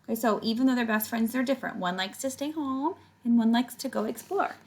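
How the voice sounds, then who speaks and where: A woman's voice: fairly high pitch, fairly quick. Woman reading a picture book to children. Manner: animated storytelling, stretches words, chats directly to young listeners. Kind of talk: read-aloud to children with casual explaining asides.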